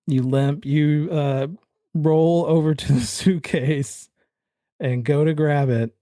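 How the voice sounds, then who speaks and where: Man, game master, dark warm voice, dramatic storytelling, casual banter, tabletop game. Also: on the high side, steady tone, takes it slowly, no room sound, clean studio sound.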